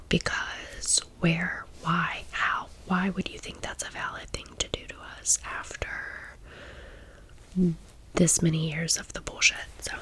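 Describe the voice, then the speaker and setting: A woman of thirty-five speaking in a breathy whisper, chatting informally and confidingly to the listener as an ASMR host. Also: on the low side, a bit bright, rough recording. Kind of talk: whispered ASMR storytime, casual chat.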